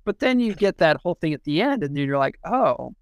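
A man in a casual literary discussion, thinking aloud in a halting way and often repeating and restarting his phrases. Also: fairly high pitch, very animated, smooth, no echo, clean studio sound. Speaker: podcast discussion co-host.

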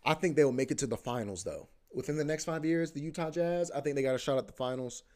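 A man's voice: a little high, fast talker, a little mellow. A man hosting a podcast, rambling casually about sports, repeating himself, swearing and saying "bro" a lot. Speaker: podcast host.

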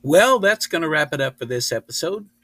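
A man's voice: on the high side, expressive, fairly quick, bright, forceful tone, dry recording. A man hosting a podcast, speaking casually to listeners. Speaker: podcast host.

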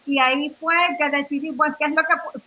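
A woman's voice: high-pitched, fairly even intonation, a little fast, sharp tone. A Nuyorican woman from New York, a radio interview guest, telling her story in rambling Spanish full of fillers, restarts and English words. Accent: Nuyorican, Spanish mixed with English words. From New York, of Puerto Rican family. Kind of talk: casual interview talk.

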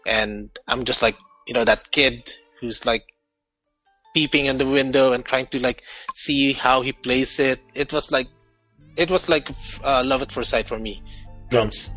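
Bright-voiced young man rambling casually. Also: mid-range voice, unhurried, faint music behind, low-quality recording. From Philippines. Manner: rambling, heavy on "like" and "you know". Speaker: guest musician. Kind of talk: casual chat.

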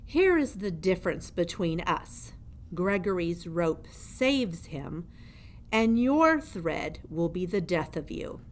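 A woman's voice: lowish voice, sing-song, slow. A woman voicing a grim, theatrical old male dungeon jailer in a storybook, ominous and emphatic. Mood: menacing. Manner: ominous, emphatic, refers to himself in third person. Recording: noticeable background noise.

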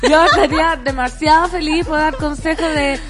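A Chilean woman with a high, bright speaking voice co-hosts a relaxed morning radio chat. She talks in a chatty way and reads listener messages aloud. Music plays faintly underneath. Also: moderate pace. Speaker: radio co-host. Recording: music playing in the background.

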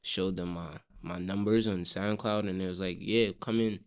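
A young man from Brooklyn, a DJ answering interview questions in a halting way, full of fillers. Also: low-pitched, steady tone, smooth. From Brooklyn, New York. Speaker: guest, DJ and rapper.

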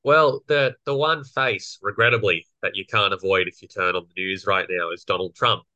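A young man with a slightly croaky voice, co-hosting a casual political podcast and explaining legal points. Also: sits low, normal speed, bright, forceful tone, no echo, lo-fi sound. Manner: explanatory, lawyerly. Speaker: podcast co-host.

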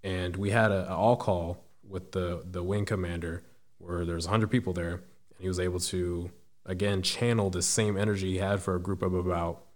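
Young man with a deep voice. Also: level tone. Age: 20s. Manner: thoughtful, halting, restarts sentences. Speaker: guest. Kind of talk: casual interview.

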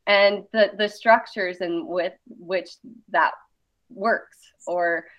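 A woman chatting casually about creative work, talkative and animated in her storytelling. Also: lowish voice, very slow. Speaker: artist and interviewer. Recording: dull lo-fi sound.

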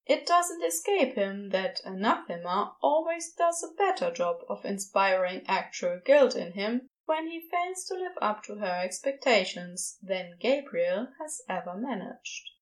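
A woman's voice: mid-range voice, very animated, unhurried, few pauses, low-quality recording. A woman reading scripted fiction aloud as the narrator of an audio drama.

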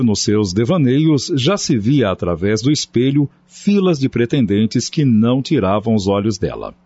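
Mellow, husky male radio drama narrator. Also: medium pitch. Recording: dull lo-fi, faint music behind.

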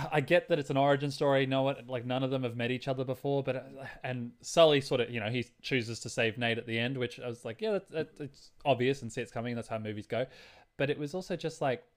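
A man's voice: medium pitch, fast. A man co-hosting a casual movie review chat, thinking aloud in an informal, loosely structured way. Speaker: podcast co-host.

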